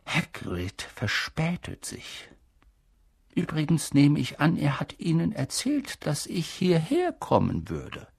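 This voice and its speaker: A reader voicing a calm elderly male headmaster, speaking soft, measured and grave in an audiobook. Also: gravelly, slightly rough, no echo, studio quality, full character voice. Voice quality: soft, low-key and measured. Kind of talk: audiobook character reading.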